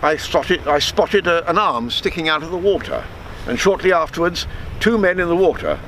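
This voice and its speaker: A man in his seventies reading a written true story aloud in a measured way, now and then halting over words. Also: fairly high pitch, sing-song, fairly bright tone, low-quality recording. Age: 70s. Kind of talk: reading aloud a written memoir, with spoken introduction. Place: outdoors on a seafront. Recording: wind and sea noise on microphone.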